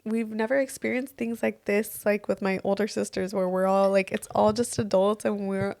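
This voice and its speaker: A young woman co-hosting a podcast with a raspy voice, chatting casually in a laid-back, teasing way and swearing freely. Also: medium pitch, level tone, normal speed, a little mellow. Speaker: podcast co-host. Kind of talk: casual chat.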